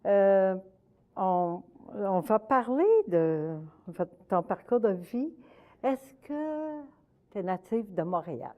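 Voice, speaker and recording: A woman with a Québécois French accent, hosting a community TV interview, courteous and quick with short acknowledgements. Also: mid-range voice, expressive, narrow, tinny audio.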